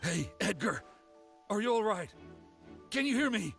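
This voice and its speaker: A man's voice: high-pitched, big pitch swings, measured pace, creaky, a bit bright, faint music behind, heavily acted. A man playing a reserved, hesitant brother of few words in a video game. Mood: alarmed.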